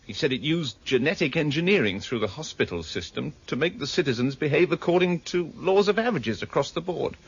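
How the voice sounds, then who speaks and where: An actor playing a frightened, evasive citizen under interrogation in a radio drama, hesitant, nervous and stammering. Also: male voice, a little high, expressive, a little fast, hardly any pauses, husky, dull audio. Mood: scared, pleading.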